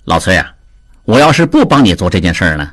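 A man voicing an excitable, easily rattled merchant in an audiobook, talking in fast, stammering exclamations. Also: sits low, heavily acted. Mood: wary. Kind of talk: audiobook character voice.

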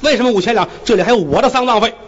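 A storyteller voicing a stern male magistrate in his thirties, loud and commanding. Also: very high voice, racing, muffled recording, background noise, voice pushed up.

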